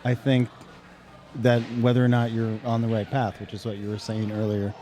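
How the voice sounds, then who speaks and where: A man's voice: fairly low pitch, flat delivery, moderate pace, dark tone. A man co-hosting a podcast, chatting in an easygoing, musing way. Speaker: podcast co-host. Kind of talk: casual chat.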